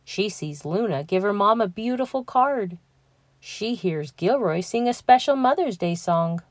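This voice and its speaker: Slow, gentle woman reading aloud. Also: medium pitch, lively intonation, no room sound. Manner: slow, gentle, lifting her voice for quotes. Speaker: children's book reader. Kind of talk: read-aloud storytelling.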